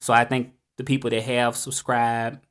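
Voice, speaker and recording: A man's voice: medium pitch, level tone, normal speed, fairly bright tone, smooth. A man in his thirties with a Southern US accent, talking casually to camera in a rambling vlog full of fillers and restarts. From United States. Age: 30s. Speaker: vlogger. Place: home in Mexico, dogs barking outside.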